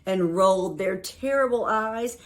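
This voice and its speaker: A woman reading a children's picture book aloud in a dramatic storytelling manner, with deliberate pauses. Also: medium pitch.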